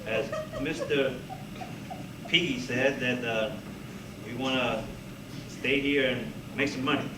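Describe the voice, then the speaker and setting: A man giving an informal student presentation in a puppet show, halting and losing his thread. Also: mid-range voice. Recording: echoey room, distant mic, noisy.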